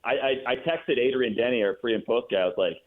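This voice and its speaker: A man speaking as a guest announcer in a casual sports radio interview, thinking aloud with halting, repeated words. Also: mid-range voice. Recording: muffled phone-like line.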